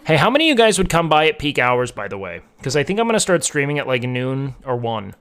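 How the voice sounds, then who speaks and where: A man's voice: medium pitch, sing-song, quick pace, fairly bright tone, smooth. A young man streaming and chatting casually with his viewers, rambling with lots of filler words. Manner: rambling, filler-heavy, frequent "like". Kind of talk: casual chat with chat.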